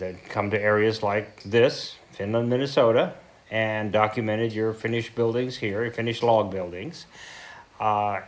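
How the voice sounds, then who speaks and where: A man in his seventies with an American accent, speaking informally as a heritage lecturer, telling his story reflectively with pauses and self-corrections. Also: lowish voice. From central Idaho, United States. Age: 70s. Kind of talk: informal talk to a local group.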